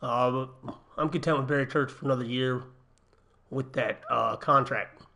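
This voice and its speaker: A young man with a slightly raspy voice from a cold, chatting casually through a gaming livestream from his apartment, thinking aloud with ums and uhs. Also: mid-range voice, steady tone, moderate pace, sharp tone. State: getting over a cold, a little raspy. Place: home apartment.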